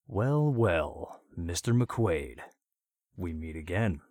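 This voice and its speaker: A man's voice: deep voice, animated, slow, a little mellow, dry recording, clean studio sound, heavily acted. A man voicing a gleeful, sadistic male vigilante who taunts his captive and laughs often. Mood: mocking.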